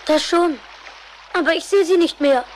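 Little boy cartoon hero, really high, earnest n stubborn, bit of noise. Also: normal speed, rough recording. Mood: stubborn, downcast. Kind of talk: cartoon audio play acting.

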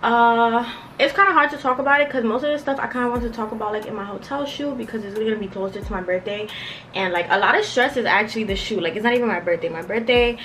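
Young female vlogger, slightly high voice, loose slangy get-ready-with-me chatter, bedroom recording with faint noise. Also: fast talker, fairly bright tone. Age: young adult, around twenties. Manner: slangy, rambling, filler-heavy with frequent "like". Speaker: beauty and lifestyle vlogger. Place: bedroom at home, indoors.

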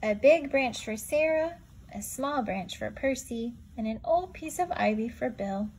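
A woman's voice: a little high, animated, measured pace, a little mellow, a little hiss or hum, rough recording. Woman doing an eager little baby owl girl.

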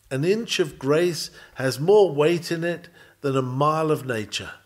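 A man reading an old Victorian sermon aloud in an earnest, measured, preacherly manner. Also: fairly high pitch. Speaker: sermon reader.

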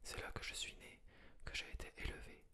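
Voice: fairly quick, pushed and bright. A reader whispering the lines of a homesick reindeer in a fairy tale. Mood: longing. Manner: whispered throughout.

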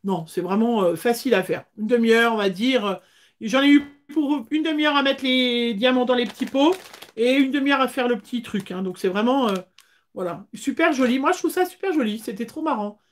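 A woman, chatting casually as the host of a craft livestream, with hesitant pauses. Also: fairly high pitch. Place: small indoor craft room.

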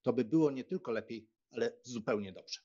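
A man plays a breezy young country gentleman in a dramatized novel, on a slightly dull, lo-fi recording. His mid-range voice is chatty, casual and jovial. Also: mid-range voice, brisk, close to an everyday voice. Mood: breezy, teasing. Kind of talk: audiobook drama reading. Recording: dull, lo-fi sound.

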